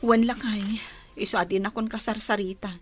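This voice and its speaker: A woman's voice: medium pitch, steady tone, normal speed, faint music behind, close to an everyday voice. An actress playing a wronged woman who lent help and complains of ingratitude in a radio drama. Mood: aggrieved. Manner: aggrieved, complaining.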